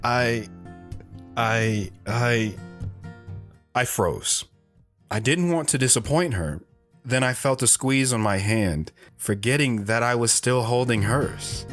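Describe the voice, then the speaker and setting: A man playing a shy, hesitant wanderer who narrates his own story in an audio drama, reflective in tone, with soft guitar underneath. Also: medium pitch, slow pace. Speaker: narrator and guest.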